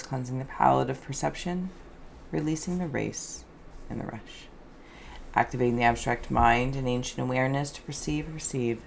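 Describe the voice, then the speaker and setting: Woman, very low voice, gravelly fry, dreamy meandering tarot reader, soft-spoken, faint noise behind. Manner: dreamy, meandering, alliterative phrasing. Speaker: host, tarot and scrying reader. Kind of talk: soft-spoken spiritual reading, improvised narration.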